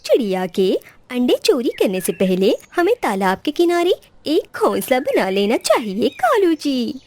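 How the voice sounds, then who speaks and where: A woman's voice: sits high, sing-song, measured pace, a little mellow. A woman voicing a scheming, bossy female crow who scolds in a children's cartoon. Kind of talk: Hindi children's cartoon dialogue.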